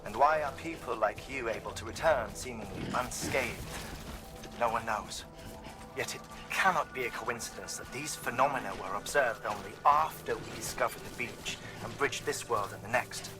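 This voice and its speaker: An actor playing an erudite, theatrical male scientist in a video game, lecturing formally and deliberately. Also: medium pitch, over music, lightly acted. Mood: grave, pondering. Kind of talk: scripted game exposition.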